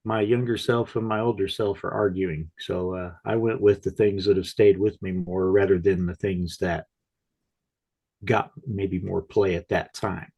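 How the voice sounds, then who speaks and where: A man's voice: low voice, steady tone, measured pace, darkish tone, husky. A man co-hosting a casual music chat, tired from no sleep, with dry, self-mocking humor. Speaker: co-host.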